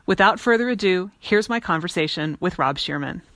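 Lively woman host, dry close recording. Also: on the low side, brisk, no echo. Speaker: show host. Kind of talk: scripted podcast introduction.

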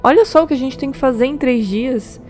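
Woman plays anxious caring young woman, stammering, hesitant, soft music under, audio drama. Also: high voice, a little fast, close to an everyday voice.

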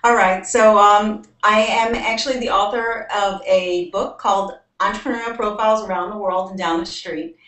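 A woman's voice: medium pitch, steady tone, moderate pace, hardly any pauses, fairly bright tone. A young American woman from New York, an author talking at length as a guest in a video call interview. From New York, United States. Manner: talkative, explains at length. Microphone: webcam on a video call. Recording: roomy webcam audio from a distance.

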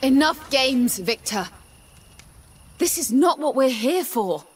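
An actress playing a fanatical noblewoman in a video game, speaking in a solemn, reverent, measured way. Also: high voice, animated, breathy edge, heavily acted. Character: serene, fanatical noblewoman, zealous would-be mother. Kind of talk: video game cutscene acting. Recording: loud music behind the voice.

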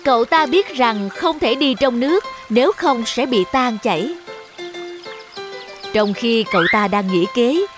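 A woman's voice: on the high side, animated, unhurried, over music. A woman narrating a scripted children's story as its storyteller.

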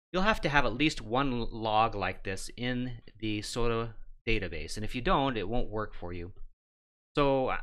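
A middle-aged man hosting a how-to show, walking through steps in a plain, instructional and somewhat halting way. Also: on the low side, bright, forceful tone, smooth. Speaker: host of a ham radio how-to show. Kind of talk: step-by-step tutorial narration.

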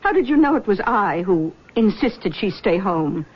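A woman's voice: mid-range voice, big pitch swings, moderate pace, breathy edge. An actress playing a controlling middle-aged mother who believes in auras, in a 1970s radio drama. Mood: earnest. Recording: dull old radio broadcast.

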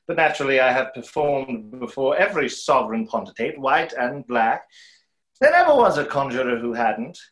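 A man's voice: medium pitch, very animated, normal speed, fairly bright tone, low-quality recording. A young man playing a suave, wry, boastful conjurer in a play reading over a video call. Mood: grandly boastful. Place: room at home, video call.

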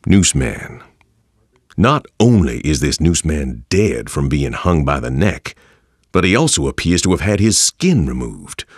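A man acting a grave, learned narrator reading his monster journal in an ominous, deliberate, husky voice for a game. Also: extremely low pitch, very animated, full character voice. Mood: grim fascination. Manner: ominous, erudite, deliberate phrasing. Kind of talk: scripted dramatic game narration.

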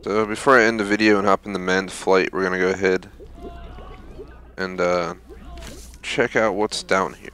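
A man's voice: low-pitched, steady tone, normal speed, long pauses, a bit bright, a little hiss or hum. A man giving casual, off-the-cuff commentary while playing through a video game. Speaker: gaming video host.

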